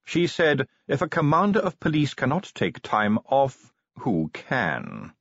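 A man voicing a tactful, unflappable butler in an audiobook, formal, measured and cautiously polite. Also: mid-range voice, huge pitch swings, gravelly, a bit bright, no echo, highs cut off, pitched above the performer's own voice, full character voice. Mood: formal, apologetic. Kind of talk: audiobook character voice.

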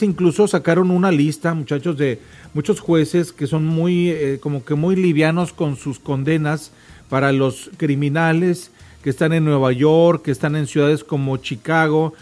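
Higher-voiced man hosting morning radio. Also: darkish tone. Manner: colloquial, addresses listeners directly. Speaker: radio morning show host. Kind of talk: conversational news commentary, reading reports.

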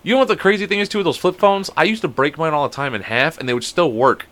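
A man's voice: fairly high pitch, quick pace, a bit bright. A man co-hosting a podcast from home, joking and swearing a lot in casual chat. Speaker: podcast co-host.

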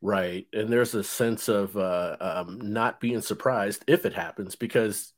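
A man's voice: on the low side, unhurried. A man in his fifties hosting an interview podcast, talking in a rambling way with many fillers and restarts. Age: 50s. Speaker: podcast host. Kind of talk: casual interview chat.

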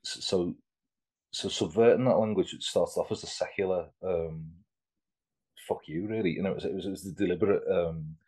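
Husky-voiced man in his fifties. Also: deep voice, a little fast, dark tone. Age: 50s. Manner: rambling, many restarts and repeated words. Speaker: artist guest. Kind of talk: casual interview chat.

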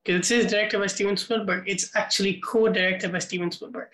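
A man's voice: high-pitched, steady tone, quick pace, bright, forceful tone, roomy sound. A man co-hosting a film podcast, rambling through casual chat with lots of filler words. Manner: rambling, many "like" fillers, laughs often. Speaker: podcast co-host.

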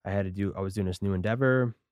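A man's voice: fairly low pitch, racing, a little mellow, dry recording, studio quality. A man in his thirties co-hosting a casual podcast, talking in a laid-back, rambling way with lots of fillers. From Connecticut, USA. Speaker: podcast co-host. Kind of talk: casual chat.

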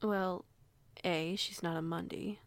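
A woman playing a protective female werewolf pack alpha in an audio roleplay, speaking in a measured, polite, reassuring way. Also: lowish voice, fairly even intonation, no room sound. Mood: cautious, measured. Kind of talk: audio roleplay acting, conversational.

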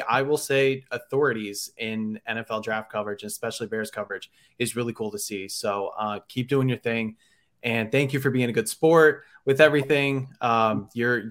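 A man's voice: mid-range voice, a bit bright. A man hosting a casual sports podcast, friendly and easygoing. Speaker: podcast host.

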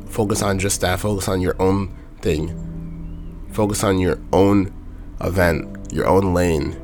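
A young man with a sore throat hosting a motivational talk, speaking in a hoarse, raspy, monotone voice and repeating his main point in plain words. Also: sits low, takes it slowly, soft background music. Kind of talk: casual motivational monologue.

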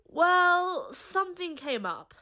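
A woman's voice: very high voice, sing-song, takes it slowly, pushed and bright, heavily acted. A woman playing a brash, sardonic female troublemaker in an audio drama, with a flippant way of talking. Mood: sheepish.

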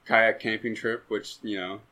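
A young man on a casual podcast, talking in a relaxed, meandering way with a touch of self-deprecation. His voice sits a little low and easy. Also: moderate pace. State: recovering from being sick. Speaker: podcast co-host. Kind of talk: casual chat.

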